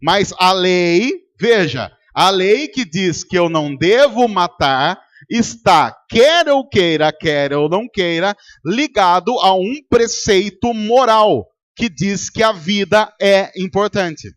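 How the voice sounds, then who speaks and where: A Brazilian pastor delivers a sermon in a noticeably high voice. He argues rhetorically, keeps quizzing the congregation and acts out both sides of a debate. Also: expressive, slow, hardly any pauses, fairly bright tone. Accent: Brazilian Portuguese. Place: church service.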